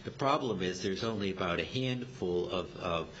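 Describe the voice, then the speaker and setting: A man with a very low voice gives an informal talk, feeling his way hesitantly through technical explanations. The recording is dull and amateur, with clear noise and a low rumble underneath. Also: expressive, fairly bright tone. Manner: halting, hesitant, explanatory delivery. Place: club meeting room.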